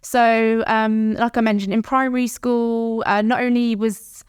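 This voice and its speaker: London woman in her 30s, podcast guest, smooth clean voice, chatty, laughs a lot. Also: fairly high pitch, little pitch movement, a little slow, dry recording, clean studio sound. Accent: London English. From South London. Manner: warm, laughs often, chatty storytelling. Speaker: guest, startup founder. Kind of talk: podcast interview.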